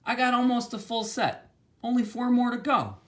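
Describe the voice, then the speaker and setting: A man's voice: very high voice, normal speed, pitched above the performer's own voice. A man voicing a hesitant, easily swayed young boy collector in a storybook reading. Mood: puzzled. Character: bewildered boy with baseball cards.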